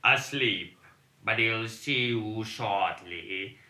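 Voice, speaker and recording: Man playing an old servant, formal, deferential, slow, mid-pitched voice, echoey noisy room. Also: bright, forceful tone, heavily acted. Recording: echoey room with clear noise.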